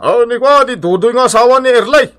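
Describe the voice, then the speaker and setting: High, whiny Ilocano drunkard. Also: male voice, animated, fairly quick, fairly bright tone. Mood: cheeky. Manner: drawling exclamations and tipsy laughs. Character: whiny, cheeky drunkard husband, easily offended. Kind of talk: Ilocano radio comedy drama acting.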